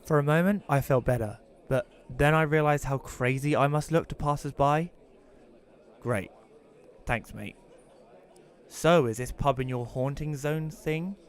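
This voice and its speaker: A man's voice: a little high, measured pace, natural voice. A man as an awkward widower telling his story. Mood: wry. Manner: informal, conversational storytelling. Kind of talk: first-person audio drama narration and dialogue.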